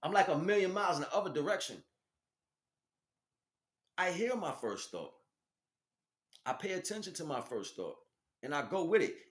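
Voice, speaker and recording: Animated higher-voiced American man, streetwise and roomy. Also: moderate pace, long pauses. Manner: emphatic, repetitive, streetwise slangy delivery. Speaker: spiritual teacher and stream host. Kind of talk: informal spiritual monologue with slang.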